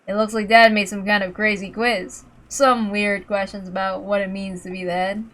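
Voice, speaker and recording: A woman voicing a casual, gruff middle-aged father in a visual novel, with a brisk, slangy, offhand manner. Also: mid-range voice, level tone, fairly bright tone, close to an everyday voice.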